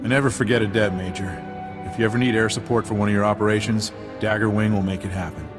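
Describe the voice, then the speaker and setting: A loyal military pilot officer acted by a man for a game cutscene. His voice sits fairly low and he speaks earnestly and with pride, with music playing behind him. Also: steady tone, fast talker, natural voice. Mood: grateful, resolute. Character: grateful, proud starfighter squadron officer, stubborn and loyal. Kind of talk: video game cutscene acting.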